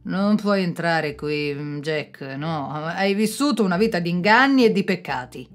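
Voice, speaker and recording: A woman voicing a stern male saint who turns a sinner away, speaking slowly and reproachfully. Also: on the low side, lively intonation, faint music behind.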